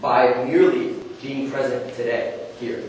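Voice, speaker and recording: A man's voice: medium pitch, flat delivery, measured pace. A young man presenting a workshop in a very echoey conference room, heard from a distance off the mic. He talks earnestly and casually, asking the audience question after question. A faint hiss sits under his voice. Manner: earnest, questioning, conversational with fillers.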